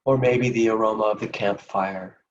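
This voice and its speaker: A man leading a guided meditation in a gentle, soothing, unhurried manner with long pauses between phrases. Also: on the low side, fairly even intonation, far from the mic, muffled recording.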